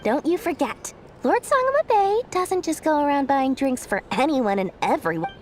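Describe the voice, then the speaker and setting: A woman's voice: high-pitched, very animated, normal speed, a little mellow, faint music behind. A woman voicing a small, brash, money-minded merchant girl in an anime-style video game, self-important and theatrical. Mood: grumbling, bossy.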